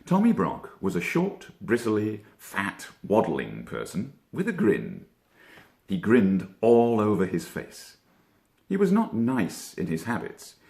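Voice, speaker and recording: A man reading a children's story as an actor would, with theatrical, expressive and deliberately dramatic phrasing. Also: on the low side, creaky, echoey room.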